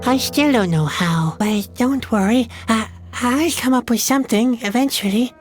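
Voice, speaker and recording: A woman's voice: on the high side, normal speed, faint music behind, close to an everyday voice. A woman voicing a young escaped prisoner in a video game, gloomy and anxious, given to wry muttered asides to themself. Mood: urgent, hushed. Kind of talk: video game adventure dialogue.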